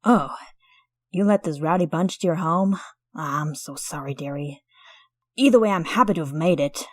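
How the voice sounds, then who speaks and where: A woman's voice: sits low, normal speed, no echo. A woman voicing a motherly dwarf baker. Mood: fussy. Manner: chatty, apologetic, calls others dearie. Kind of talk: fan audio drama roleplay.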